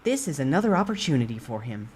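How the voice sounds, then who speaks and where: A woman voicing an impersonal game-like system announcer, formally reading out stats in measured phrases. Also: rumbling low, very animated, a little mellow, a little hiss or hum.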